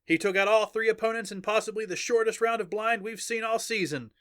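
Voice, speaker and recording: A man voicing an excited, bombastic male sports announcer in an audiobook, stretching words for emphasis. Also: high-pitched, brisk, no room sound, clean studio sound, pitched above the performer's own voice. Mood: thrilled. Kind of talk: arena sports commentary in an audiobook.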